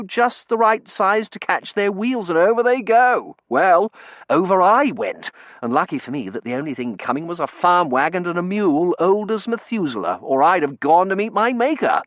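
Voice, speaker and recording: A man's voice: sits high, big pitch swings, moderate pace, fairly bright tone, no room sound, voice pushed up. Een man die een opgewonden babbelende jongeman speelt. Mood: chattering eagerly. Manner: breathless eager patter, theatrical quoting. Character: cheerful chattering young gentleman, excitable. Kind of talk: audiobook character voice.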